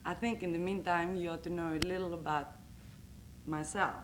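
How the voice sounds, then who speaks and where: A woman's voice: fairly low pitch, steady tone, background noise. A woman from Greece with a Greek accent, telling funny stories about her husband in a roast speech. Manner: slow, deliberate storytelling with comic timing. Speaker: coach's wife giving a roast speech. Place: banquet hall. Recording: echoey banquet hall.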